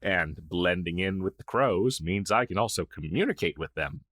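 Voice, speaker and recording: A man's voice: deep voice, expressive, normal speed, fairly bright tone, no room sound, clean studio sound. A man playing a friendly, shy crow familiar in an intimate fantasy audio roleplay, speaking in a hesitant, earnest, conversational way. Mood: gentle, reassuring. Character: friendly, shy crow familiar, a masterless male magical helper. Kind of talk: intimate ASMR roleplay acting.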